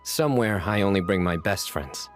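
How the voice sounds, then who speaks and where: Young champion, very low voice, dry, composed, self-assured, deadpan, video game acting. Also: male voice, normal speed. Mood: reflective. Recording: music under the dialogue.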